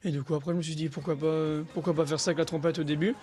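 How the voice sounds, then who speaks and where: A man's voice: a little high, little pitch movement, racing, darkish tone. A young man from Alsace, a guest singer on a TV talk show, answering casually with many fillers and restarts. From Alsace, France. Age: young adult. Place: TV studio.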